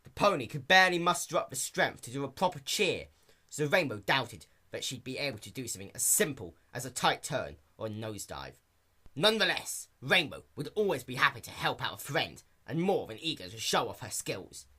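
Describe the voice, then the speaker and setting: A man's voice: mid-range voice, sing-song, brisk, pushed and bright, husky. A man reading a fan fiction story aloud as an amateur narrator.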